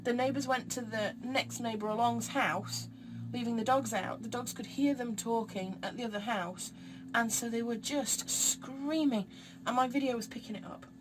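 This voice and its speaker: A woman, a bit throaty and croaky, giving a chatty, encouraging monthly tarot reading straight to her viewers. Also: a little high, normal speed, noisy background. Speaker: tarot reader.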